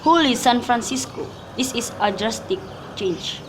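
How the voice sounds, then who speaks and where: A woman playing a holy friar and gently scolding mentor in an amateur radio play, reciting carefully with small stumbles. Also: on the high side, animated, a little slow. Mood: chiding. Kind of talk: student radio drama, recited modern Shakespeare. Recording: clear background noise with birdsong.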